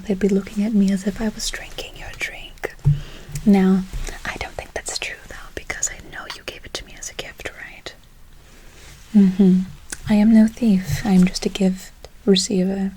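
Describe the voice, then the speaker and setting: A woman playing a doting, whimsical forest witch in an ASMR roleplay, cooing endearments and gently reassuring her listener. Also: medium pitch, flat delivery, moderate pace, slight background noise, close to an everyday voice. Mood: playfully coy.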